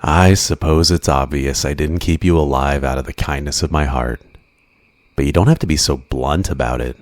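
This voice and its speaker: A man playing an obsessive, flirtatious supervillain in an ASMR roleplay, drawing out teasing, softly menacing endearments in a possessive way. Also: rumbling low, normal speed, no echo, clean studio sound. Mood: smug teasing.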